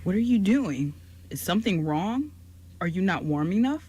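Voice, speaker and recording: A kindly male giant as an actor plays him, polite and caring, with deliberate, formal wording and a medium pitch. It is radio drama, recorded with faint noise behind. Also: sing-song, mellow, natural voice. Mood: concerned. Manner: careful, formal, deliberate phrasing. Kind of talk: amateur radio play acting.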